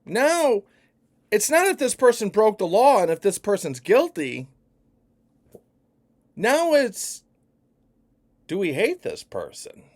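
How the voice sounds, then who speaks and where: A man from Chicago giving an emphatic, profane opinion rant that swings between hushed and loud. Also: high-pitched, sing-song, slow, long pauses. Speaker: vlogger.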